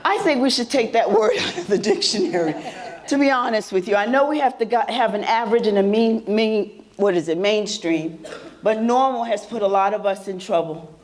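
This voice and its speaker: A woman's voice: mid-range voice, expressive, normal speed. A woman presenting a workshop to a live audience, talking conversationally with a soothing voice and regularly asking the room questions. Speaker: presenter at a mental health symposium.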